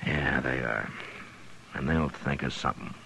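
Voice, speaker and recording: An actor playing a stern, world-weary frontier marshal with a gruff, authoritative manner in a 1950s radio western. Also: male voice, very deep voice, a little slow, sharp tone, slight background noise, exaggerated voice, poor audio. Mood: concerned. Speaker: lead actor and narrator. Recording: 1950s radio broadcast with music.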